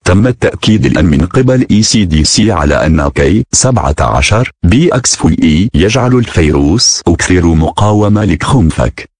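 A man's voice: sits low, lively intonation, racing, hardly any pauses, husky, no room sound, clean studio sound. A man reading a scripted emergency announcement as a broadcast announcer, formal and synthetic-sounding.

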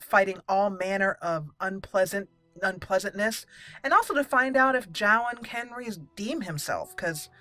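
A woman with a bright voice gives casual commentary over a video game. She is chatty and expressive, talking to the characters as she plays. Faint game music runs behind her. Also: medium pitch. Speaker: gaming channel host. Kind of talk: casual let's play commentary.

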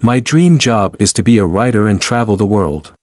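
Low-voiced man reading lesson sentences. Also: no echo, clean studio sound. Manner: clear, even, deliberate reading. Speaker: language lesson reader. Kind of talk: slow repeated example sentences for learners.

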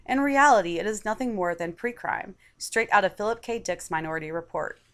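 A woman reading a scripted opinion article aloud in a measured, articulate way. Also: mid-range voice, expressive, a bit bright. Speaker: article reader.

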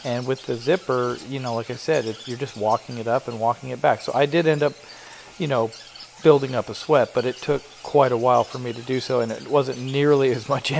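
A man's voice: medium pitch, slight background noise. A middle-aged man who owns a cleaning business, giving a chatty, explanatory voiceover for his work vlog. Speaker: carpet cleaning business owner.

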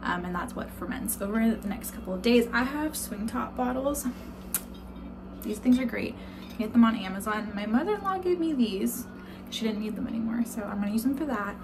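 A woman's voice: a little high, lively intonation, a little fast, background noise. A young woman in her twenties chatting casually as she shows homemaking projects, upbeat with frequent ums, filmed on a phone at arm's length at home. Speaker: homemaker vlogger. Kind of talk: casual how-to chat. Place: home kitchen and outdoors in snow.

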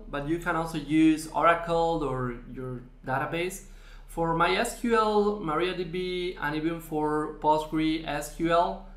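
Slow-talking man with roomy echo. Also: fairly high pitch, a bit bright. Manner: slow, explanatory, with frequent fillers. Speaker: software demo presenter. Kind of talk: screen-recorded software tutorial. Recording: echoey room.

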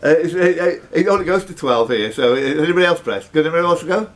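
A man's voice: a little high, lively intonation, fast. A man hosting a live quiz, reading out questions and scores and teasing the contestants. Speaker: quiz host and question master.

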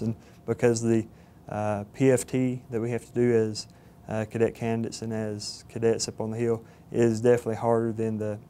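A young man in his twenties with a Southern Georgia accent, earnest and halting with fillers, speaking in an interview. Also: mid-range voice, mellow, a little hiss or hum. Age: 20s. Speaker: cadet candidate. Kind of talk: interview testimonial. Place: studio with plain backdrop. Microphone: lapel mic.

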